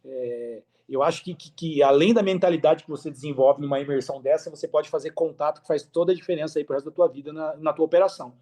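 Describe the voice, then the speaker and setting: A Brazilian man talking casually and enthusiastically as a podcast guest on a video call, with slangy, high-energy delivery. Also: quick pace, few pauses, warm tone. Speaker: podcast guest, affiliate marketer. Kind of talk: casual interview chat.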